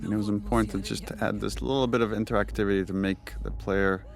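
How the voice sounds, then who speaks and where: A man's voice: on the low side, steady tone, fast talker, gravelly. A man giving unscripted behind-the-scenes commentary in a thoughtful, explanatory way, feeling out his phrasing. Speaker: game director giving commentary.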